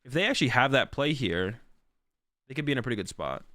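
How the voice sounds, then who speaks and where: Sick guy streaming, voice going hoarse, lots of um and like, dry close sound. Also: mid-range voice, animated, quick pace, sharp tone, no echo. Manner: rambling, filler-heavy, thinks aloud. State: sick, losing his voice. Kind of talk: casual stream commentary.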